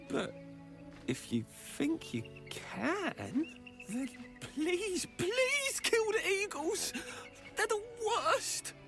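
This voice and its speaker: A woman voicing a resentful, sardonic talking animal in a video game, in blunt, halting short phrases. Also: extremely high pitch, full character voice. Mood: amused, pleading. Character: sly, grumbling talking creature resenting bullying eagles. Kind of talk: video game creature acting.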